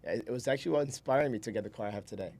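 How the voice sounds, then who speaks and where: A man's voice: mid-range voice, rapid-fire, creaky, a little mellow. A man, telling his story casually and informally as an interview guest, in a rambling, easygoing way. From Brazil. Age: 20s. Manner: informal, rambling, chuckles while talking. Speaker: podcast guest, solar salesman.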